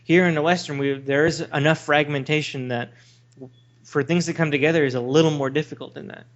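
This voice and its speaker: Male podcast co-host, opinionated and meandering, conversational, somewhat higher voice, muffled lo-fi audio. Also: steady tone, brisk. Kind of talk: casual discussion of video games. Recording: dull lo-fi voice recording.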